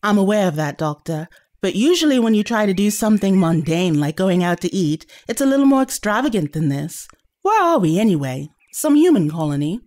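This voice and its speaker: A woman's voice: mid-range voice, sing-song, fairly quick, darkish tone, lightly acted. A woman playing a wry, practical young companion in an audio drama, questioning and teasing. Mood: wry, surprised.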